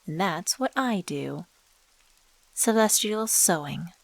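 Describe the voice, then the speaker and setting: Woman acting as mysterious female dealmaker, lowish pitch, dry close sound, coaxing, teasing, deliberate, ASMR roleplay drama. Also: expressive, vocal fry, no echo, exaggerated voice, poor audio. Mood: amused, musing. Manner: coaxing, teasing, deliberate, calls listener sweetheart. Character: enigmatic female stranger, smooth-talking supernatural dealmaker. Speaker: voice actor in a scripted audio drama.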